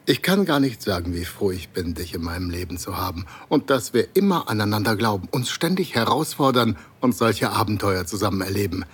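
A man playing a devoted, romantic husband, affectionate and gently commanding, in an intimate audio drama. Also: fairly low pitch, expressive, fairly quick, few pauses, slightly rough.

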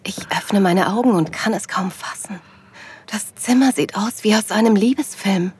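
A woman playing a loving, happy wife, narrating an intimate audio drama softly and breathily, often near a whisper. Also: medium pitch, normal speed. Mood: amazed. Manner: soft, breathy delivery, often dropping to a whisper. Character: loving wife, happily moved, narrating her anniversary trip tenderly.

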